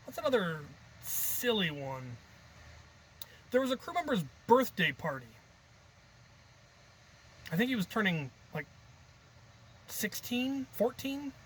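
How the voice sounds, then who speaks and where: A man with a fairly high, very bright voice hosts a casual hobby vlog. He rambles and thinks aloud, joking at his own expense. A faint hum of background noise sits behind him. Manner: self-deprecating, jokey, hesitant thinking aloud. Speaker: hobby video creator and host.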